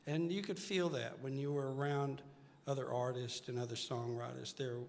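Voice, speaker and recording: A man's voice: medium pitch, fairly even intonation, moderate pace, gravelly. An older man, a singer-songwriter, chatting casually and earnestly as an interview guest on stage. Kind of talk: casual onstage interview chat. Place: stage of a live music show. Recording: reverberant hall, music behind.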